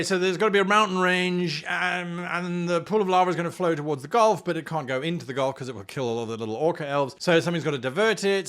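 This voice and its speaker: A man's voice: fairly high pitch, a bit bright. A man hosting a tabletop role-playing lesson, giving game master advice in a theatrical, exaggerated manner. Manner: theatrical, exaggerated, acts out mock dialogue.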